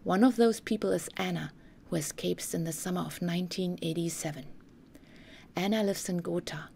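A woman narrating a scripted documentary story in a measured, carefully enunciated way. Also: lowish voice, gravelly, a little mellow, slight background noise.

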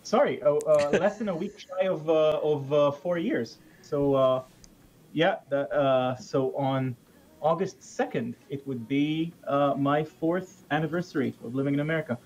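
A man's voice: a little high, a little slow, darkish tone, dull audio. A man in his thirties from Israel with an Israeli accent, chatting as a guest over a headset on a video call, hesitant with many fillers and restarts. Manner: hesitant, lots of uhs and restarts. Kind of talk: casual chat. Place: home room. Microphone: headset, webcam video call.

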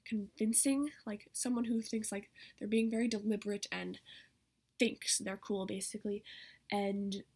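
A woman's voice: mid-range voice, little pitch movement, a little slow, a little mellow, husky. A teenage girl talking casually to camera in a rambling way, saying "like" often, as she explains character voices. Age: teens. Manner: rambling, peppered with "like". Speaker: vlogger demonstrating character voices. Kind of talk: casual chatty tutorial to camera. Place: small bedroom, indoors. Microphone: laptop mic at arm's length.

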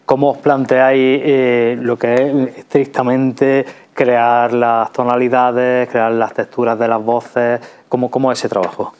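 A man's voice: mid-range voice, little pitch movement, unhurried, few pauses, darkish tone, smooth. A man in his thirties with an accent from Spain, hosting a studio interview in a conversational way, on a lapel mic. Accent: Spanish from Spain. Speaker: TV culture show host. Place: small TV studio.

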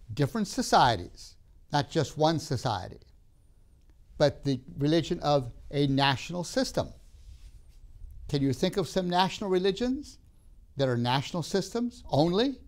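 An older man who grew up in Tanzania, giving a measured classroom lecture with emphatic pauses, recorded on a lapel mic in a studio classroom. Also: a little high. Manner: measured, emphatic pauses, gestures while talking.

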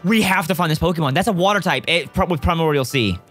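A man in excitable casual gaming chat on a video call. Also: a little high. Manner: excitable, fast-talking. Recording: game music underneath.

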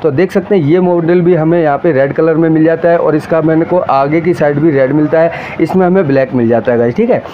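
An Indian man narrates a casual hands-on unboxing for his viewers in a slightly high voice. He explains things in quick, repetitive patter. The sound is a little dull, with faint noise behind him. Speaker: tech reviewer, vlogger.

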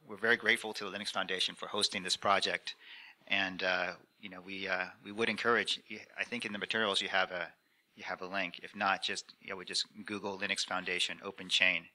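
A man with a fairly low voice and a very bright, forceful edge speaks on a conference panel. He explains things off the cuff in measured, halting phrases, posing questions as he goes into a handheld mic. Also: flat delivery. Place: conference room panel before an audience. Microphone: handheld microphone.

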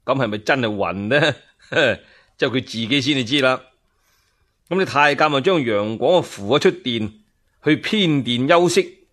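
A man telling a dramatized traditional tale in Cantonese, colloquial and expressive, with asides to his listeners. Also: a little high. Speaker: solo storyteller. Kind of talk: traditional Chinese radio storytelling, dramatized narration.

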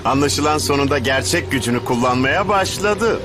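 A man's voice: medium pitch, animated, moderate pace, close to an everyday voice. An actor dubbing a theatrical, gloating male tournament master in an anime cartoon. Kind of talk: dubbed anime voice acting. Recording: music under the voice.

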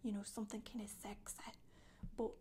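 A woman in her thirties playing a chatty Scottish witch in soft-spoken, breathy, deadpan ASMR storytelling with a put-on Scottish accent. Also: medium pitch, normal speed, slight background noise, close to an everyday voice. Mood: casual, confiding. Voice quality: breathy, whispery. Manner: hesitant, colloquial, deadpan.

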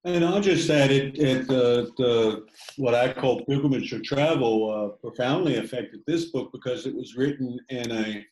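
A man who writes, speaking about his book on a video call in a halting, thoughtful way with many fillers. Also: mid-range voice, a little mellow, echoey room, rough recording. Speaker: poet reading his work. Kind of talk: reflective talk in an online reading. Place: home room on a video call.

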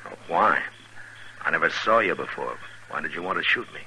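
Stern frontier marshal, American, very low voice, measured, authoritative, old radio western. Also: male voice, expressive, pushed and bright, a little hiss or hum, full character voice, poor audio. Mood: stern, calm. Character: stern, steady Old West marshal, also narrating. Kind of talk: 1950s radio western acting. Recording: vintage 1950s radio broadcast.

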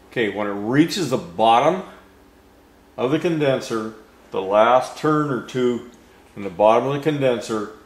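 An older man explaining a technical diagram in an unscripted lesson, slowly and haltingly, with many fillers and restarts. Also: medium pitch. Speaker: HVAC instructor. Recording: roomy echo.

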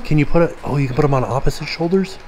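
A man's voice: fairly high pitch, rapid-fire, crowd noise. A middle-aged American man chatting casually and playfully as he vlogs on a handheld camera. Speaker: vlogger. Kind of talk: casual vlog chat. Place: mostly inside a busy gift shop.

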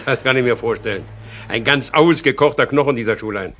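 An actor playing a wry, unhurried Hamburg police commissioner on the phone in a German radio crime drama. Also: male voice, mid-range voice, very noisy, natural voice. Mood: amused.

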